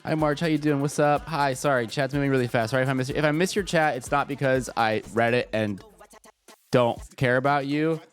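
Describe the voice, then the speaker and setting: A man's voice: medium pitch, brisk. Man streamer, congested, swearing, rambling casual chat, faint music behind. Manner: profane, joking, rambling. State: congested, slightly stuffy. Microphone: desk microphone.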